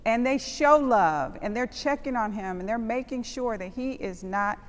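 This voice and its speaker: A woman's voice: fairly high pitch, lively intonation, moderate pace. A woman hosting a panel discussion, speaking in a personal, emphatic way. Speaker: panel host. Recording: long hall reverb.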